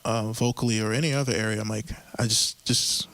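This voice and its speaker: A man hosting a casual group conversation, talking in a halting way with many fillers and easy laughs. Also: lowish voice, steady tone, creaky, a bit bright, dry recording, poor audio. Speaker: podcast host.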